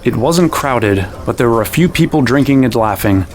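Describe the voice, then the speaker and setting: Actor as wry, kindly young fantasy hero. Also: male voice, mid-range voice, animated, normal speed, darkish tone, a little hiss or hum, natural voice. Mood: measured. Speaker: actor and narrator in an audio drama. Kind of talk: acted first-person narration and dialogue.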